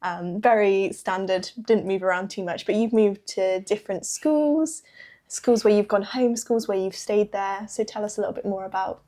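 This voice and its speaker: A woman's voice: medium pitch, normal speed, dark tone. A woman from the UK interviewing her husband on a podcast, asking questions in a playful, teasing way. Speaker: interviewer, guest's wife.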